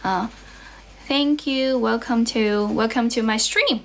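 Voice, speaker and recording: A young woman with a Chinese accent tinged with American, her voice sitting a little high. She chats to her livestream viewers in a friendly, encouraging way, repeating herself as she teaches English from a relative's house. A faint hum of room noise sits behind her phone mic. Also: slow, vocal fry, a bit bright. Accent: Chinese, with American influence. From China. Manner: chatty, encouraging, switches between English and Chinese, repeats phrases. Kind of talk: casual livestream chat to viewers.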